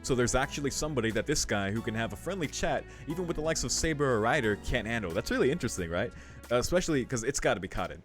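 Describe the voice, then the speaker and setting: A man streaming a game, casually commenting and reading text aloud, thinking out loud as he goes. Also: mid-range voice, expressive, very fast, few pauses. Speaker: let's play streamer. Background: game music.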